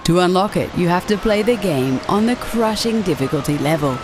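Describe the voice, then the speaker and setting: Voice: mid-range voice, animated, fairly quick, noisy background. A narrator reading a scripted video game countdown as a voice-over.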